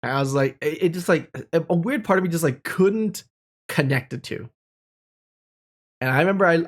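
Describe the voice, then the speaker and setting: A young man telling personal stories in a casual, candid and reflective way, speaking informally. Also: medium pitch. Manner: candid, informal, with mild swearing.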